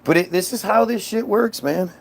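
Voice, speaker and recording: Combative man with a higher-pitched voice, ranting. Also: moderate pace, gravelly, slight background noise. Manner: combative, dismissive; frequent swearing. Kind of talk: casual political rant, replying to chat comments.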